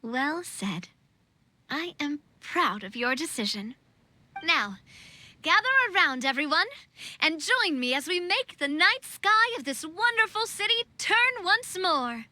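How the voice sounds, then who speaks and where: A woman's voice: high voice, animated, unhurried, bright, forceful tone, no room sound, heavily acted. A woman playing a confident, kind young queenly leader in a video game, with a theatrical, upbeat delivery. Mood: proud, rousing. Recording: music under the voice.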